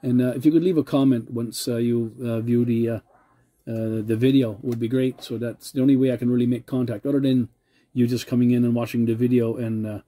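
A man's voice: mid-range voice, fairly even intonation, brisk, warm tone. A man making a CB radio contact, polite and using radio procedure words. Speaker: CB radio operator.

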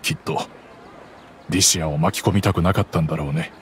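An actor playing a gentle, regretful middle-aged merchant father who calmly recounts the past in a game cutscene. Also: low-pitched, fast, slight background noise, close to an everyday voice. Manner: calm, reflective storytelling.

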